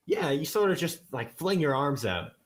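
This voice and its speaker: A man's voice: fairly high pitch, sing-song, moderate pace. A man playing a police constable who is trying too hard to seem hip, rambling eagerly as a radio guest with lots of filler words. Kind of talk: comedic improvised radio talk.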